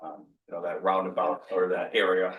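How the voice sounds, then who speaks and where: Low-voiced man chairing a roomy meeting. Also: level tone, a little fast, far from the mic, narrow, tinny audio. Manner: folksy, recounts local history, calls on speakers. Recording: roomy council chamber.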